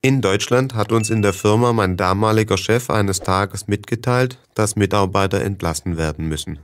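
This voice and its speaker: A man reading scripted texts for language learners in an even, measured way. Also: on the low side, a little hiss or hum. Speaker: reader of listening texts.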